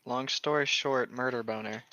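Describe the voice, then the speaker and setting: A man's voice: mid-range voice, steady tone, normal speed, bright, forceful tone, no echo, muffled recording. A man in casual banter, reading aloud and reacting with joking, mock-exasperated sarcasm. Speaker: co-host reacting to online horror fiction.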